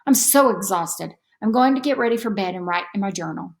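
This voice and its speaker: A woman voicing an eager, sweet third-grade girl in a storybook, earnest and excitable. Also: medium pitch, a little fast, natural voice. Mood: happy, tired.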